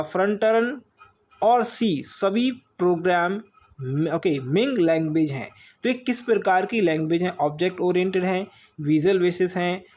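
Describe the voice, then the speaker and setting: A man's voice: high voice, a little mellow. A man with an Indian accent teaching an informal online quiz lesson, repeating key phrases and dropping in short check words. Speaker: online exam-prep teacher. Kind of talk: informal lesson going through multiple-choice questions.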